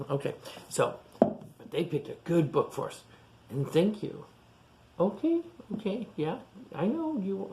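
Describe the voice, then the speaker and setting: A man reading a picture book aloud to young children, pausing for simple explanations and questions. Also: medium pitch, vocal fry, a little mellow, slight background noise. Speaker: storytime reader for young children. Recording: echoey room sound.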